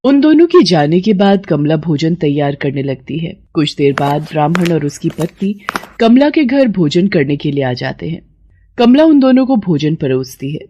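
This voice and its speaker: A woman's voice: sits low, very animated, moderate pace, mellow, muffled recording. A woman giving scripted storytelling narration for a Hindi moral-story cartoon.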